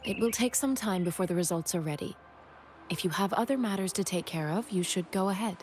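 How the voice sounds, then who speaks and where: A woman voicing a calm, analytical young scientist and doctor in a video game, speaking in a measured, clinical way. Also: mid-range voice, a little hiss or hum, lightly acted. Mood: composed. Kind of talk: English video game voice acting.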